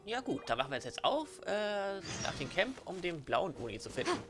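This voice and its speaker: A man's voice: high-pitched, very animated, brisk. A man with a German accent giving casual, rambling let's play commentary with teasing banter, game music behind him.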